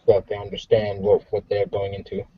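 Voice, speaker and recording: A man with a mid-pitched, raspy voice takes part in a tabletop game. He speaks in casual, bantering phrases. The audio is dull and lo-fi. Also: monotone, brisk.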